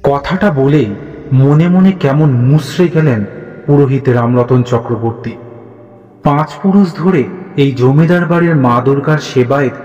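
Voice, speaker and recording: An echoey, reverberant voice over faint music, this man is a storyteller narrating a scripted horror tale. His delivery is dramatic and deliberate, at a medium pitch. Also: darkish tone, dull audio.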